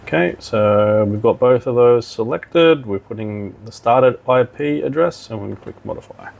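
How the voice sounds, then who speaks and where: A man giving an informal software tutorial, thinking aloud as he instructs step by step. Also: mid-range voice, unhurried, vocal fry. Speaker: technical demonstrator. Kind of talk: software tutorial walkthrough, talking while clicking.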